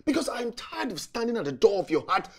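A young man with a husky voice, acting an earnest, frustrated lover in a film drama. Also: mid-range voice, fairly quick, darkish tone. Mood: frustrated, hurt.

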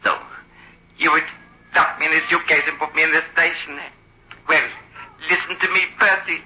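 A sinister male dummy come to life, with a high, piercingly bright voice. He taunts and threatens in old radio horror style, through a dull, lo-fi recording. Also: fairly even intonation, moderate pace. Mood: menacing. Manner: taunting, needling. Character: sinister living male ventriloquist's dummy, defiant and menacing.

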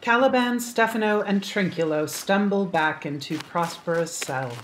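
A woman's voice: mid-range voice, moderate pace. A woman narrating stage action in a scripted radio play. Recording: noisy online recording.

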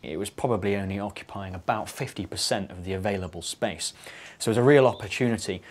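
Low-voiced architect, measured. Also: male voice. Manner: measured, thoughtful, with occasional fillers. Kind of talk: documentary interview.

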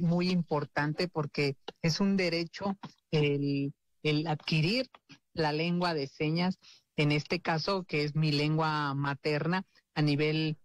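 A woman working as a sign language interpreter, voicing live in the first person with a halting delivery full of fillers and restarts. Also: deep voice, dry recording.

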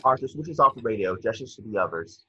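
A man's voice: lowish voice, animated, fast talker, sharp tone, husky, no room sound. A young man reading screenplay stage directions as scripted narration, on dull video-call audio. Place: indoor room at home, on a video call.

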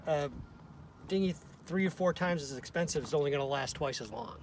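A man in his fifties explaining things in a relaxed, casual way outdoors by the water. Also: on the high side, a little fast. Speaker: sailing vlog co-host. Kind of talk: casual chat. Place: outdoors at a dock and in a dinghy. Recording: wind and water noise.

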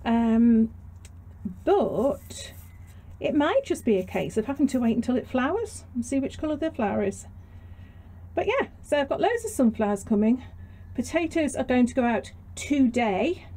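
A woman's voice: high-pitched, sing-song, normal speed, mellow. A British woman giving a casual, rambling garden tour in a chatty, self-deprecating way, recorded on a handheld camera. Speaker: gardening vlogger. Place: allotment garden and greenhouse. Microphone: handheld camera's built-in microphone. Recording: noisy outdoor handheld camera audio.

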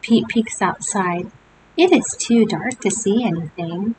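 An older woman reading a picture book aloud to young children, with gentle chatty asides. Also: mid-range voice, unhurried. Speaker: storybook reader. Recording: home recording with steady hiss.

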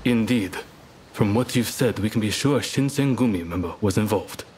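A man's voice: fairly low pitch, a little mellow, slight background noise, lightly acted. A man playing a composed, courteous samurai statesman who speaks formally in a video game cutscene. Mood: earnest, grave. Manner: formal, deliberate.

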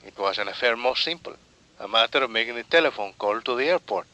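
An obliging, wry policeman from Mexico with a Spanish accent. The voice is bright and forward, the phrasing courteous and quaint, in 1950s radio drama fashion. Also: medium pitch, animated, fast talker, muffled recording.

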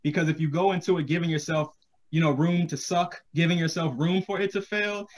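A man's voice: a little high, fairly even intonation, fairly quick, a little hiss or hum. A man in his thirties talking casually on a panel video call, thoughtful and encouraging as he hedges his advice. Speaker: podcast creator, panel guest. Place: small home room indoors, video call. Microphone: computer video call.

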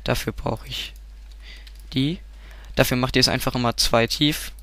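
A man's voice: medium pitch, steady tone, normal speed, vocal fry, pushed and bright, no room sound. A man with a stuffy cold nose, giving a casual game tutorial, rambling and often correcting himself. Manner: rambling, self-correcting, frequent filler sounds.

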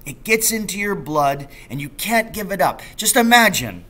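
A roguish, enthusiastic storybook father, read out by a man. He tells his tales with emphatic relish, in a bright voice that moves animatedly up and down. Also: high-pitched, lively intonation, normal speed, pitched above the performer's own voice. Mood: excited, relishing.

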